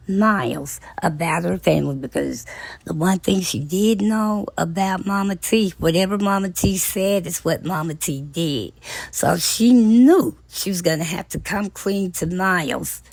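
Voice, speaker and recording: Slow, husky-voiced woman recapping a book. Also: mid-range voice, a little mellow, no room sound, rough recording. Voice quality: raspy. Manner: unhurried storytelling retelling of a plot, with pauses. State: hoarse from long talking. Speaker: book channel host.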